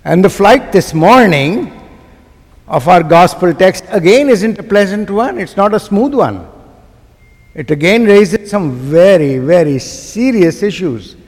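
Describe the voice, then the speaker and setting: A man with a Pakistani accent delivers a sermon in a large church, his slightly high voice carried by long reverb. He speaks slowly and emphatically, pausing between phrases and putting questions to the congregation. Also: expressive. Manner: rhetorical questions put to the listeners. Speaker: guest preacher.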